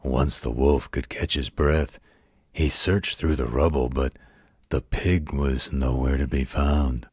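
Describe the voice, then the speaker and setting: Gruff male werewolf guardian acted by a man, extremely low and raspy, tender folksy bedtime storyteller for an intimate audio roleplay. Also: steady tone, dry recording, clean studio sound, exaggerated voice. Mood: folksy storytelling. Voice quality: very deep, gravelly, husky. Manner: folksy, unhurried, gently wry.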